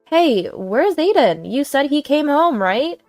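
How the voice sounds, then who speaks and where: Woman acting worried, polite grandmother, slightly raised pitch. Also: big pitch swings, slow, darkish tone, no echo, soft background music, full character voice. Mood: worried, searching. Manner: concerned, questioning.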